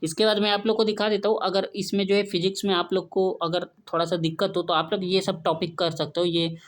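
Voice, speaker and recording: A man's voice: high voice, level tone, fairly quick. Young man with explaining informally. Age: young adult. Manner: repetitive check-in tags, listing topic by topic. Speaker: exam-prep tutor. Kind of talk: informal syllabus explainer in Hindi mixed with English terms.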